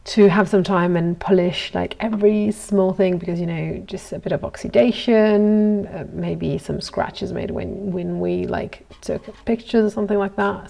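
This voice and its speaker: Woman, young adult, from Italy, native Italian speaker, casual workshop chat, frequent ums and small laughs, slightly gravelly voice. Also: lowish voice, darkish tone. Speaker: goldsmith streaming from her workshop. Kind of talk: casual live-stream chat while working.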